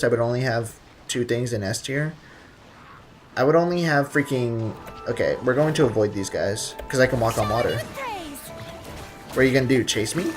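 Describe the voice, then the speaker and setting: A man live streaming a video game, chatting casually with viewers in a slangy, joking, rambling way. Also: mid-range voice.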